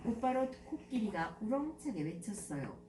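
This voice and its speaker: A woman's voice: a little high, normal speed. An older woman narrating a children's story as a grandmother storyteller, expressively. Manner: expressive, acting out dialogue. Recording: echoey room with clear background noise.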